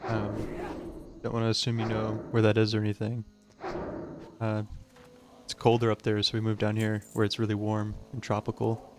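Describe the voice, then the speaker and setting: A young man from the Chicago suburbs, chatting casually with his viewers while he plays a game, with easy fillers and a relaxed tone. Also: fairly low pitch, normal speed, pauses often. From Chicago suburbs, USA. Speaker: gaming streamer. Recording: music playing behind the voice.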